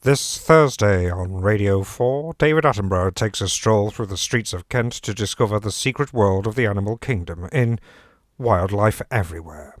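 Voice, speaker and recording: A man playing a formal, deadpan radio continuity announcer in a comedy parody. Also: lowish voice, moderate pace, natural voice.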